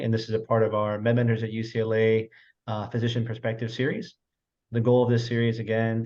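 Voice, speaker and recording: A man's voice: fairly low pitch, darkish tone, highs cut off. A young man in his twenties, a medical student, hosting a video-call panel in a friendly way with many fillers. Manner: friendly, quick, with fillers and restarts. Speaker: host, medical student moderator.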